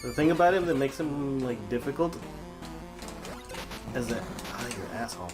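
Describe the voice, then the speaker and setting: Man, from minnesota, laid-back let's play commentary, grumbles at the game, clear game music behind. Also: mid-range voice, expressive, moderate pace, rough recording. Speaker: game player.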